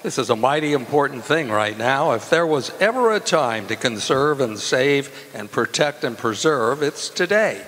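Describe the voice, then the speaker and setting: A man playing a chummy, wisecracking announcer and eager pitchman in old-time radio comedy. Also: lively intonation, normal speed, hardly any pauses, fairly bright tone, natural voice. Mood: earnest. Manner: chummy, jocular. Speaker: show announcer. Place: live stage show in a community hall. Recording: reverberant hall stage performance.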